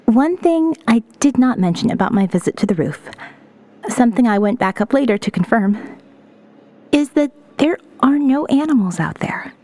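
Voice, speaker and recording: A woman playing a young survivor of an apocalypse, narrating her diary in a measured, introspective way for an audio novel. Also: on the high side, lively intonation, darkish tone, close to an everyday voice. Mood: somber, pensive. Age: young adult. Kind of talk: first-person dramatic audio novel narration.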